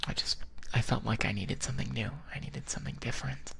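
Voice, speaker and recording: A man playing a shy, lovestruck friend in an ASMR boyfriend roleplay, speaking in a soft, breathy whisper with a hesitant, halting manner. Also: medium pitch, fairly even intonation. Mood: wistful, apologetic. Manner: hesitant, halting, gently awkward. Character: shy, lovestruck male friend confessing feelings.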